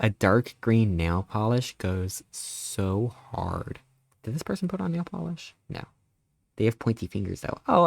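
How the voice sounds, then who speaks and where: A man in his thirties, a live streamer chatting casually and greeting viewers, rambling playfully with sudden squeaky jumps in pitch. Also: vocal fry, no echo. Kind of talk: casual live-stream chat reading chat messages.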